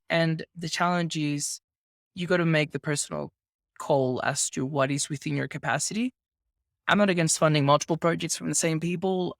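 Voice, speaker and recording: A man from Venezuela hosting a podcast interview, talking in a thoughtful, measured way with a lot of careful phrasing. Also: on the high side, gravelly, fairly bright tone, no echo, studio quality. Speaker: podcast host. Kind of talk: casual interview conversation.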